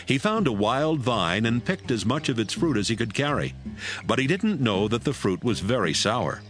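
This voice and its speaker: A man's voice: mid-range voice, very animated, moderate pace, faint music behind. A man narrating a dramatized Bible reading as a scripted storyteller.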